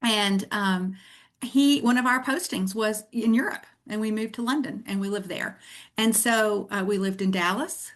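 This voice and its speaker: A woman's voice: mid-range voice, a little slow. A middle-aged woman from Tennessee with a Southern American accent, telling her story in an earnest, reflective way as a guest author in a casual interview.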